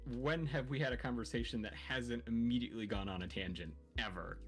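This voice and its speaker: A man's voice: mid-range voice, brisk. A man co-hosting a stream, trading casual banter with a dry, teasing manner. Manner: teasing, dryly joking. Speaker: stream co-host. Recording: lofi music playing behind. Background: soft lofi background music.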